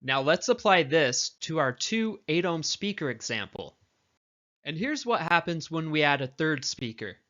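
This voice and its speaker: A man's voice: on the high side, big pitch swings, a little slow, fairly bright tone, muffled recording. A man reading scripted tutorial narration as an instructor, explaining things in an instructional way.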